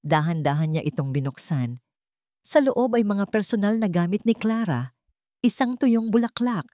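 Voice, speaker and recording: A woman reading a scripted, dramatic love story in a measured, solemn storytelling manner. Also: lowish voice, warm tone, no echo, clean studio sound. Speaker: story narrator.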